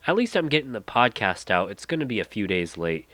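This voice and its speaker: A man's voice: on the low side, big pitch swings, fairly quick, pushed and bright, dry recording. A man hosting a solo podcast, congested and nasal from a head cold, rambling, trailing off and restarting mid-sentence. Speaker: podcast host.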